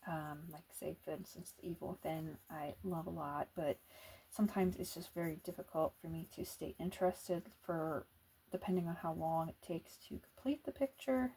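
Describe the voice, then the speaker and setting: A soft-spoken woman doing casual ASMR chat as an artist at work, talking in an unhurried, musing way. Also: deep voice, breathy edge, low-quality recording. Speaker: illustrator and comic artist narrating a drawing video.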